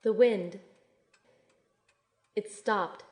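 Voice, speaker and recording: A woman's voice: medium pitch, very slow, a little mellow. A woman playing a wounded, self-doubting young woman in an audio drama, speaking in an earnest, introspective way. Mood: reflective, resolute. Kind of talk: audio drama dialogue and first-person narration. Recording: noisy ambience behind the voice.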